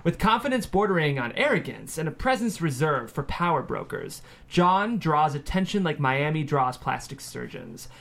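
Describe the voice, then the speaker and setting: A man's voice: a little high, big pitch swings, normal speed, gravelly, hardly any pauses, fairly bright tone. A man reading out the stage directions as narrator at a screenplay table read.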